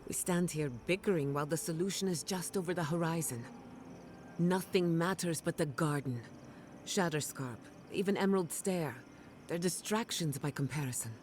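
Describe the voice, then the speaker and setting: A hard-nosed fantasy game companion voiced by a woman, with a fairly low pitch. She argues gravely and persuasively, resolute and unwilling to bend. Faint ambient noise sits behind her. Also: moderate pace, darkish tone, natural voice. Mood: impatient. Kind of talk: dramatic game cutscene acting.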